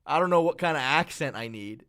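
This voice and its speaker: A man's voice: on the high side, lively intonation, a little fast, pushed and bright, smooth. A man hosting a live stream, chatting casually and rambling to his viewers. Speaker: streamer host.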